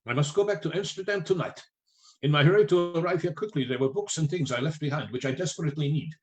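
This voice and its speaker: A man's voice: a little high, fast, natural voice. A man acting in a radio play as an older professor, a learned foreign doctor who speaks formally and gives grave, urgent orders. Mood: grave, commanding. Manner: imperative, formal, solemn phrasing.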